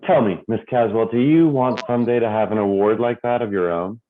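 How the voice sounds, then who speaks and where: An actor playing a suave, cynical theater critic in a radio play, with a dry, deliberate, knowing delivery. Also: male voice, on the low side, close to an everyday voice. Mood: smooth, sardonic. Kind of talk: theatrical radio drama acting. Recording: thin phone-like sound, music behind.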